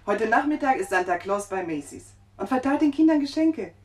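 Radio drama mother: actress as a kindly, anxious woman with a high voice, expressive delivery. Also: fairly quick, lightly acted. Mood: caring. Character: worried but kindly young mother of small boy. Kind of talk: German radio drama acting.

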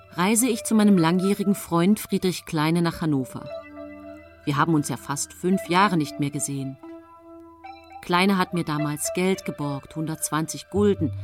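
An actress playing a secretive, falsely devoted poisoner who confesses in measured radio drama narration. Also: female voice, fairly low pitch, lightly acted. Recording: studio radio drama with music.

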